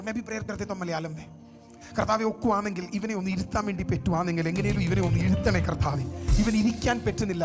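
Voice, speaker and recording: A male pastor preaching a sermon, swinging from hushed asides to shouted exhortation. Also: sits high. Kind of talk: Hindi sermon with English phrases. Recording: sermon with music behind. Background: music.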